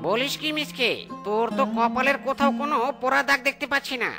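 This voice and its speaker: A woman's voice: high voice, fast, over music. A woman voicing a worried male monkey, a father in a comedy cartoon, speaking in an urgent, imploring way. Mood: worried pleading. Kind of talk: exaggerated Bengali comedy cartoon acting.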